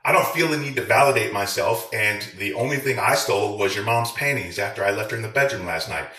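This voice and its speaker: Low-voiced man ranting with crude sarcasm, roomy sound. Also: fast talker, bright, forceful tone. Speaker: video creator, military veteran. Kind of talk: profane rant to viewers.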